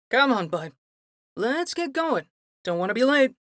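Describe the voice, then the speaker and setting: A woman's voice: high voice, sing-song, slow pace, fairly bright tone, no room sound, studio quality, heavily acted. A woman playing a teasing, friendly young male reporter in an audio drama, bantering casually.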